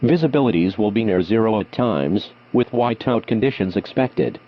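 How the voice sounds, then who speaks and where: A man's voice: lowish voice, animated, moderate pace. A man reading a scripted emergency weather bulletin in a formal, automated-sounding delivery, like a weather radio announcer. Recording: muffled lo-fi weather radio, humming noise.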